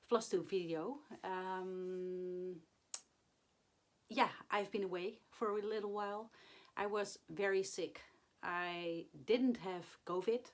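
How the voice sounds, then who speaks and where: A hoarse woman talks slowly to her camera and halts often with fillers. Her voice is still rough from the flu. Her pitch sits at an ordinary middle height. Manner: halting, many fillers and pauses. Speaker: flosstube vlogger. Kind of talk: casual chat to camera.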